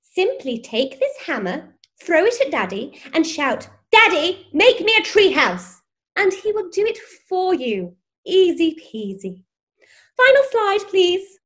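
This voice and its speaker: An actress plays a prim lady teacher on a spoof children's show. Her voice is high and swings widely, with a slow, sing-song delivery. She is gushing and jolly, with condescension and menace underneath. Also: heavily acted. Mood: mock cheerful. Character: prim, gushing, sinister children's TV schoolteacher woman. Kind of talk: parody children's show presenting.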